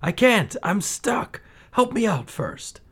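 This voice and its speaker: A man voicing a lovesick young man who pleads in a raised, high-strung voice. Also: big pitch swings, slow, a bit bright, slightly rough, full character voice. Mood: panicked.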